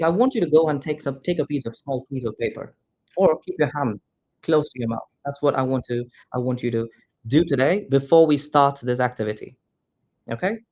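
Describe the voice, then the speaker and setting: A man's voice: mid-range voice, a little mellow. A man from India whose first language is Malayalam, teaching English pronunciation in a direct, repetitive classroom manner. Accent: Indian, neutralized English. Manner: direct, repetitive, addresses students as "guys". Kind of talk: classroom instruction to students.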